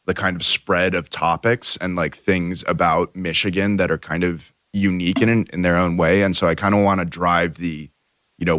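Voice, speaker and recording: A man's voice: deep voice, fairly bright tone, dry recording, studio quality. A young man, an MBA student co-hosting a podcast, talking in a loose, rambling way full of "you know" and "like". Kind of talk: casual podcast chat.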